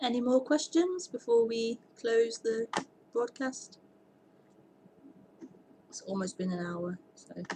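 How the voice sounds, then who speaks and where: A woman with a voice pitched somewhat high leads a live-streamed spiritual sitting. She talks earnestly but haltingly, stumbling over and repeating words and laughing often. A faint hum of room sound sits underneath. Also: expressive. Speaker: non-duality teacher hosting a live sitting.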